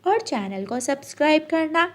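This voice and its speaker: A woman's voice: high voice, sing-song, moderate pace. A woman storyteller reading scripted narration for a children's cartoon tale.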